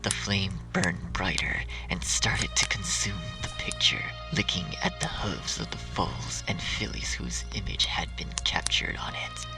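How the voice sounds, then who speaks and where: Low, gravelly male horror story narrator. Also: a little slow, few pauses, whispered, low-quality recording. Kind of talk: scripted dark fan fiction narration. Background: music.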